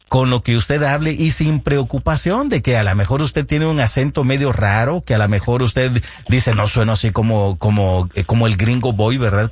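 A man hosting a radio show, talking straight to his listeners in a calm, reassuring way and repeating his key points. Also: mid-range voice. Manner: reassuring, repetitive, addressing listeners directly. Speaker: radio host. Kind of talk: radio call-in advice.

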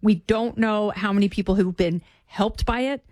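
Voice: medium pitch, steady tone, moderate pace, a little mellow, dry recording, studio quality. A co-host in casual political chat, agreeing and chiming in.

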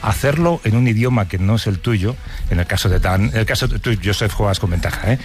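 A man's voice: on the low side, animated, a little fast, background noise. A man hosting a live comedy show, introducing and chatting with his guests. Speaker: show host. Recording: loud crowd at live show.

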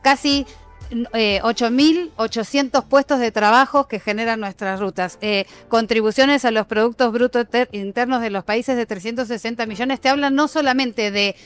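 A middle-aged woman, an airline sales director, answering in a measured business interview with occasional fillers and restarts. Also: a little high, clear, resonant tone, faint music behind. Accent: Mexican Spanish with a foreign tinge.

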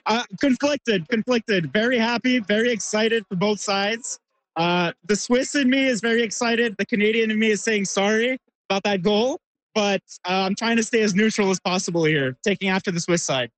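A man's voice: sits high, moderate pace, a bit bright, rough recording. A man, a sports fan interviewed on the spot at an outdoor viewing party, speaking hesitantly with many fillers.

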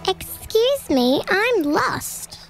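A woman's voice: very high voice, sing-song, drawn-out words, creaky, soft background music, voice pushed up, heavily acted. A woman voicing a tiny, timid lost young creature, small-voiced and hesitant, in a children's audio story.

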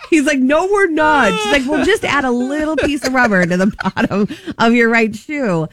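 A woman's voice: high-pitched, expressive, normal speed. A woman co-hosting a radio show, chatty and playful as she tells stories. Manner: chatty, playful storytelling with quoted voices. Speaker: radio co-host. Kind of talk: casual chat.

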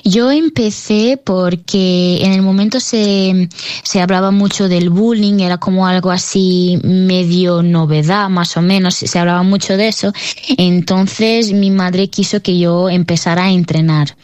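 A woman's voice: fairly low pitch, fairly even intonation, unhurried, darkish tone, no echo. A woman in her twenties with a Portuguese accent, a professional fighter answering interview questions with hesitant, repeated restarts. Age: 20s.

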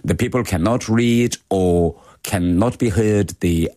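Low-voiced Korean-accented man. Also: mellow, dry recording, studio quality. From North Korea. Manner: measured, many "you know" and "uh" fillers. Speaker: guest, former diplomat and lawmaker. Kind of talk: interview answers.

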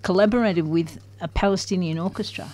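Guest: retired woman, slightly low voice, warm enthusiastic chat. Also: fairly quick, no echo. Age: older. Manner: chatty and enthusiastic, many ums. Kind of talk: casual conversation.

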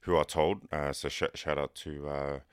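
Very deep-voiced New man. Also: level tone, smooth, no room sound, clean studio sound. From Cook Islands, raised in New Zealand. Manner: halting, soft-spoken, many pauses and fillers. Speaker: guest, police officer. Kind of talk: radio interview answers. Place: radio studio.

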